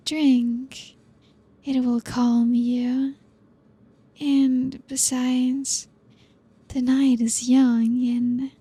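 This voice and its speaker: A woman playing a possessive female vampire who coaxes her guest in a soft, breathy ASMR roleplay. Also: on the high side, full character voice. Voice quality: soft breathy whispery delivery. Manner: slow, teasing, coaxing.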